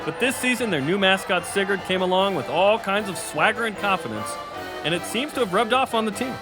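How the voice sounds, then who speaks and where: An actor playing an excited football commentator calling a game dramatically in an audio drama. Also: male voice, sits high, fairly quick. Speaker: sportscaster. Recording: loud music and crowd behind.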